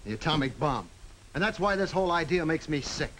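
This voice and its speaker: An actor playing an embittered war witness in a 1950s film drama, blunt and challenging, on an old soundtrack. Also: male voice, fairly high pitch, lively intonation, brisk, fairly bright tone, slight background noise. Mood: bitter, insistent.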